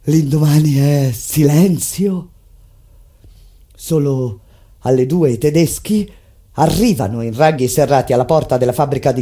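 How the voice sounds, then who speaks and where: An actress playing a grave, intense resistance fighter recounting an uprising in solemn, declamatory theatrical narration. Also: female voice, sits low, full character voice. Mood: grave, restrained. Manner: solemn, declamatory, deliberate phrasing. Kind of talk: dramatic theatrical narration of a text set to music. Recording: loud music under the voice. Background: loud instrumental music.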